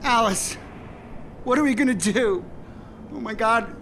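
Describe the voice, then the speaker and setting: A man's voice: very high-pitched, measured pace, noisy background. A man acting a distraught husband and father, anguished and panicked, crying out and swearing in a dramatised script reading. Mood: despairing panic.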